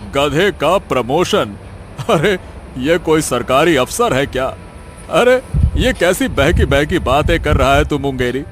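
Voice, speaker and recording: A man as a slow-talking, condescending village headman. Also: on the high side, expressive, slight background noise. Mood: amused, mocking. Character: mocking, hearty male village headman. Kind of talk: comic animated story dialogue.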